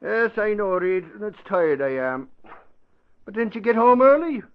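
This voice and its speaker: A high-voiced, blustering Irish newsman in an old radio drama. He is excitable and dogged, and the muffled, narrow broadcast sound frames his brogue. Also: moderate pace. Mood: tired, grumbling. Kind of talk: 1940s radio acting.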